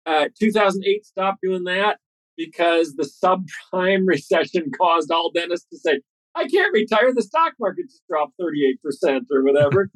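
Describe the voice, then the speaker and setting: Eager, quick-talking man, dry sound. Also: sits high, animated, dry recording, rough recording. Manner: fast, eager storytelling with restarts. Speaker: dentist and guest. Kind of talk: podcast interview answers.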